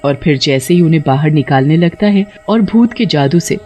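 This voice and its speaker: A man's voice: a little high, expressive, moderate pace, dull audio. A man narrating a scripted story as a storyteller. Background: music.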